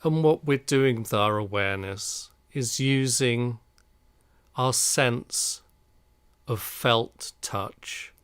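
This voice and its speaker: A man guiding a relaxation meditation in a calm, soothing, unhurried manner. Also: mid-range voice, clear, resonant tone, no echo. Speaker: meditation guide.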